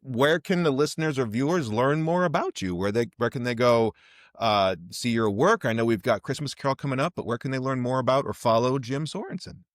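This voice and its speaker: A man's voice: medium pitch, a little fast, dry recording, studio quality. A man hosting a casual podcast interview, speaking in an encouraging, affirming way. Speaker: podcast host interviewing an actor.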